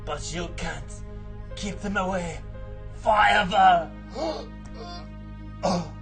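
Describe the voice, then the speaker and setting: Languishing cartoon baguette: French accent, romantic, frail, extremely slow, theatrical swoops over faint music. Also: male voice, sits high, animated, vocal fry, a bit bright, full character voice. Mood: dying, tender.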